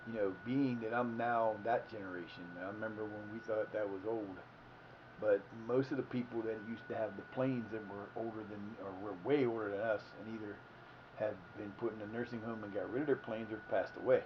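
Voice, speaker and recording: A man's voice: lowish voice, steady tone, brisk, noisy background. Rambling man in his fifties, muffled audio. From Ohio, United States. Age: 50s. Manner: meandering, self-correcting, folksy. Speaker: livestream host. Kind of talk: rambling casual storytelling.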